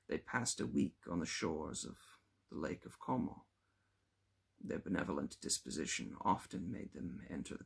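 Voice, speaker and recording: A man narrates a classic novel in a deep voice full of gravelly fry. His tone is husky and rough around the edges. He reads in a measured literary manner, with lively rises and falls in pitch. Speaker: audiobook reader.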